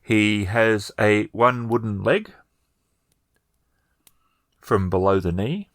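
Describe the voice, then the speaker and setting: A man narrating a tabletop game as game master, improvising with halting, hesitant phrasing. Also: fairly low pitch, fairly bright tone, no room sound, studio quality.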